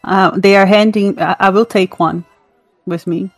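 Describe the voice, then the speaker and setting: Woman, twenties, halting word search, dry sound, faint music. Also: medium pitch, fairly even intonation, dry recording. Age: 20s. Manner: hesitant, searching for words. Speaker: player.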